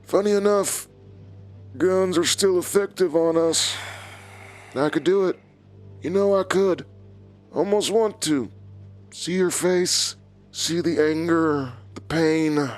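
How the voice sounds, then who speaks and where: A man's voice: fairly high pitch, slow pace, long pauses, faint music behind. A man playing a cold, taunting villain in an audio drama, an exhausted old enemy who keeps tight control. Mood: threatening, taunting.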